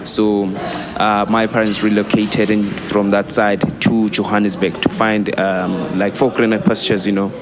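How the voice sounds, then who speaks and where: A man's voice: lowish voice, level tone, crowd noise. A South African man talking as a guest in a casual interview, wandering through his points with frequent "you know" and restarts. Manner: rambling, fills pauses with "you know" and restarts. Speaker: guest, student volunteer.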